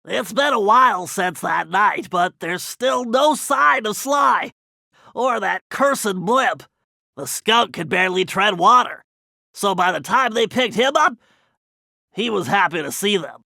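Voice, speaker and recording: Brainy cautious turtle hacker, video game cartoon, nasal, gravelly creak, precise and wordy, dry close sound. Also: male voice, high-pitched, animated, measured pace, pushed and bright, dry recording, heavily acted. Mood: measured narrating. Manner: precise, wordy, slightly fussy.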